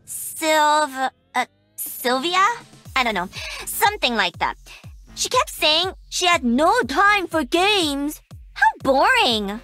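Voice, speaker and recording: Giovane gamer sfacciata e frizzante, voce altissima e brillante, musica lieve sotto. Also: female voice, high-pitched, very animated, slow, bright, forceful tone, faint music behind, heavily acted. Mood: sassy. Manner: sassy, teasing, bubbly. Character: cocky young female gamer mech pilot. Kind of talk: game voice lines.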